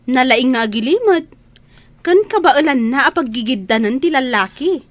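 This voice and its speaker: A woman's voice: high-pitched, expressive, normal speed, dry recording. A woman playing an indignant, scornful gossiping younger sister-in-law in an Ilocano radio drama, with an Ilocano accent.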